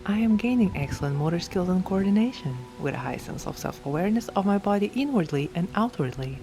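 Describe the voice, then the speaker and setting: A woman reads scripted affirmations for sleep hypnosis in a measured, deliberate way, phrase by phrase. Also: fairly low pitch, animated, warm tone. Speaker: affirmations narrator. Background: background music.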